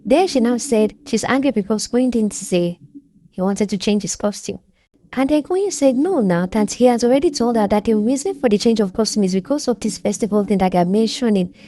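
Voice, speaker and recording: A woman's voice: medium pitch, dark tone. A woman giving casual, opinionated commentary on a reality show, often seeking agreement from her viewers and laughing between points.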